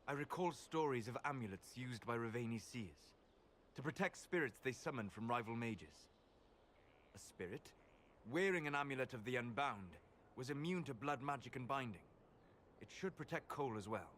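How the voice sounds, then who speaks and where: A man playing a calm, scholarly mage in a video game, speaking in a measured, formal, precise way. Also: medium pitch, slight background noise, lightly acted. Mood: measured, explaining. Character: calm, scholarly male mage who befriends spirits.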